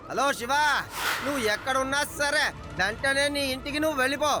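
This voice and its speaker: A man voicing a high, blustering cartoon inspector. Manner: boastful, flustered, stammering. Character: blustering bumbling male police inspector. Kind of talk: cartoon dub. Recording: cartoon with loud music behind.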